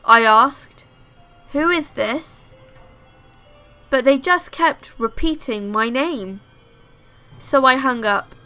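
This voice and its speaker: A woman reading first-person scary stories aloud as scripted narration, in a measured storytelling manner. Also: high-pitched, expressive, pushed and bright, clear, resonant tone, faint music behind.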